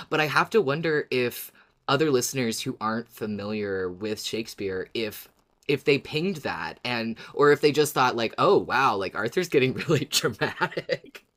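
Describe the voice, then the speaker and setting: A woman's voice: rumbling low, measured pace. Woman podcast host, casual, laughs a lot, rambles a bit. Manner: relaxed, laughs often, rambling restarts.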